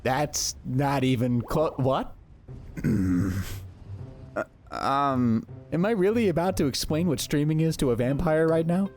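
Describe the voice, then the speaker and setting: A man's voice: a little high, expressive, unhurried, a little mellow, faint music behind. A man voicing a young hero adventurer in a manga dub, casual and sardonic, both narrating and speaking in dialogue. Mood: casual, bemused. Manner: casual, wry. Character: young male hero-healer adventurer, sardonic, easily flustered. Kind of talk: anime-style manga dub acting and first-person narration.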